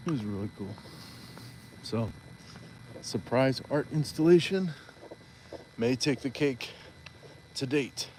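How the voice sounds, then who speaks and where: Slow-talking man on a walking livestream. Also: medium pitch, a little hiss or hum, rough recording. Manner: relaxed, chatty, reads out viewer comments with playful asides. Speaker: livestream host. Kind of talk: casual live-stream chat while walking. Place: outdoors on a street, walking.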